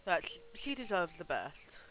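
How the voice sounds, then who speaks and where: Male livestream host, high pitch, bright tone, informal and sweary game commentary. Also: big pitch swings, moderate pace, a little hiss or hum.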